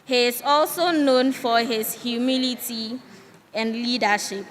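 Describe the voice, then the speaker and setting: A woman's voice: on the high side, measured pace. A woman, reading a guest's profile aloud as a clerk in a formal, careful way. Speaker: deputy clerk. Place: large hall at a youth parliament. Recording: reverberant hall with faint background noise.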